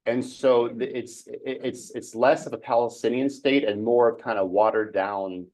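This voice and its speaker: A man leading a church study group, explaining in casual, halting speech with many ums and restarts. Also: medium pitch, steady tone, a little mellow. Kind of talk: casual teaching talk.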